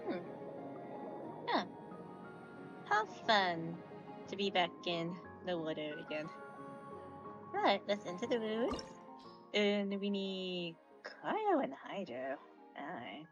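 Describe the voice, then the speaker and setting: A woman's voice: mid-range voice, sing-song, slow, long pauses, over music. A woman streaming a game with casual commentary, murmured reactions and chatty asides, on a slightly dull mic.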